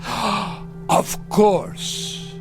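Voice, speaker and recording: An actor playing an evasive, agitated former SS captain in a vintage television drama, halting and blustering as he defends himself. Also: high-pitched, gravelly, over music, heavily acted. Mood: jovial disbelief.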